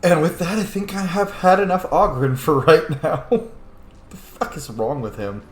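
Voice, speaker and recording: A man's voice: a little high, moderate pace. A man hosting a gaming let's play, talking casually and jokingly, with some swearing. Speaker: host of a gaming channel. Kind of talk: casual let's play commentary, role-playing in-game dialogue. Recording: roomy echo, faint background noise.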